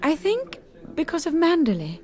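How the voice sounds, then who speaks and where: An actress playing a shy young lady's companion in a radio drama, gentle, hesitant and well-spoken as she narrates and converses. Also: high voice, huge pitch swings, normal speed, faint music behind. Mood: shy, flustered. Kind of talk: radio drama acting and first-person narration.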